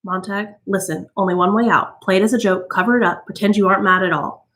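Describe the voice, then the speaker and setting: Woman reader as a nervous older man pleading in urgent, hushed tones; audiobook figure. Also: medium pitch, fairly even intonation, quick pace, lightly acted. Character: anxious older man whispering in an ear.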